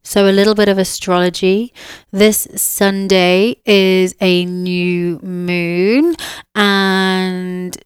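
A woman's voice: medium pitch, level tone, drawn-out words, clear, resonant tone, no echo, clean studio sound. Chatty woman with a cold. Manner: chatty, easygoing, with fillers like "um" and "yeah". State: slightly bunged up with a cold. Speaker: podcast host, spiritual mentor and psychic medium. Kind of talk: casual solo podcast chat.

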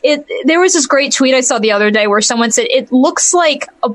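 A woman co-hosting a podcast in casual chat, talking in an animated, exclamatory way with emphatic repetition. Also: sits high, fairly quick, slight background noise. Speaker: podcast co-host. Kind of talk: casual chat about pop culture.

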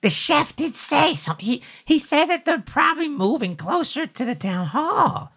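A woman's voice: high voice, very animated, moderate pace, fairly bright tone. A woman talking casually as a player in a tabletop roleplaying game.

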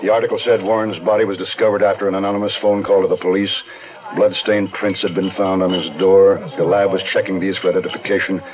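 A man's voice: deep voice, little pitch movement, fast talker, a crowd in the background, natural voice. An actor playing a wounded American man on the run, telling his crime story in terse first-person radio drama narration. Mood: grim, tense. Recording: dull 1970s radio recording.

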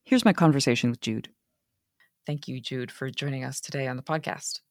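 A woman's voice: extremely low pitch, fairly quick, a little mellow, no echo, studio quality. A woman hosting a podcast, reading a scripted intro and then asking interview questions with some hesitation. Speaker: podcast host.

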